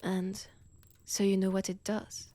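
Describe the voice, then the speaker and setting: Woman doing a french accent vampire lady, whispery n slow, teasing seductive menace, asmr roleplay right up on the mic. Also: medium pitch, monotone, mellow, no room sound, natural voice. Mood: taunting. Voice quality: breathy, whispery. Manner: slow, teasing, deliberate delivery. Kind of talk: intimate ASMR audio roleplay. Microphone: close, dry microphone.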